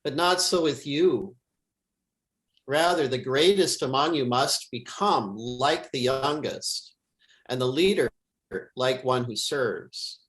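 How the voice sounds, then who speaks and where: A man reads scripture as a pastor would, in a solemn, measured and deliberate liturgical style. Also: mid-range voice, expressive, fairly bright tone, rough recording.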